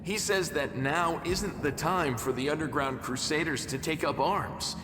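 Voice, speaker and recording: A man's voice: on the high side, unhurried, background noise, close to an everyday voice. An actor playing a cocky, eager young tribesman in a video game, flippant and sardonic in manner. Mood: earnest, urgent. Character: wry young male mongrel underground tribesman, cocky and eager. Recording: echoey hall reverb. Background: background music.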